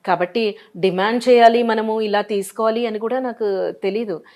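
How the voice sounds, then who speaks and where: A woman's voice: fairly high pitch, steady tone, normal speed, darkish tone. A middle-aged Indian woman, reminiscing in a conversational interview, repeating phrases animatedly and mixing in English words. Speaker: interview guest, dubbing and announcement voice artist.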